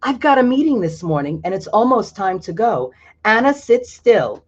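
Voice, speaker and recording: A man voicing a hurried mother who scolds her children in a quick, sharp way, in a dull lo-fi recording. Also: sits high, very animated, pitched above the performer's own voice. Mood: rushed.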